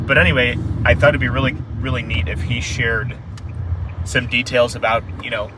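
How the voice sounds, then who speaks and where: A man hosting a relaxed interview from inside a moving car and asking short follow-up questions. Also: medium pitch, normal speed. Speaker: host. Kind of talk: casual interview. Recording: car recording with loud road noise. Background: loud road noise.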